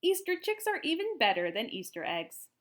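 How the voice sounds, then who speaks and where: A woman's voice: high voice, huge pitch swings, normal speed, pitched above the performer's own voice. A woman voicing a chirpy, eager townswoman in a storybook, with a sing-song, exclamatory way of talking. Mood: bright, eager. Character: chirpy, eager townswoman collecting Easter eggs.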